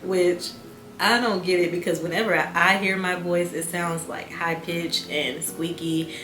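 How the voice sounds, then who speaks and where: A young adult woman talking in a calm, soft-spoken, reflective way in a casual vlog. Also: lowish voice, a little slow, background noise. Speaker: YouTuber. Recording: echoey room with music bed.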